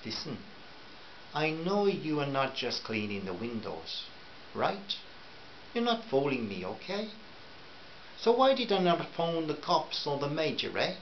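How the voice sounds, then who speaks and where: A man voicing a shrewd, friendly Greek cafe owner in a put-on Greek accent, speaking slowly and gently. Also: a little high, very animated, highs cut off, slight background noise. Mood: searching. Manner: slow, gentle, searching.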